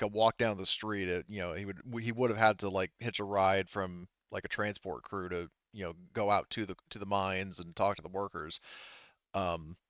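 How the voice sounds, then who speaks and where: Low, smooth-voiced man running a tabletop game. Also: a bit bright, dry recording, highs cut off. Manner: halting, rambling, thinks aloud. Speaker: game master. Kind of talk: improvised tabletop game narration.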